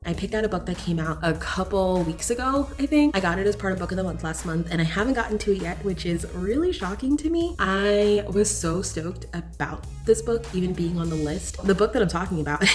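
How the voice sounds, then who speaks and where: Lower-pitched woman gushing about books. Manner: gushing, candid, emphatic. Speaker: book reviewer vlogger. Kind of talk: casual chatty book review to camera.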